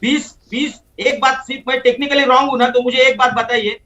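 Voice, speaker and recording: Very high, bright, combative male politician. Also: fairly even intonation, a little fast, muffled recording. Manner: combative, insistent, repeats challenges. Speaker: party politician as debate guest. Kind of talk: heated political debate.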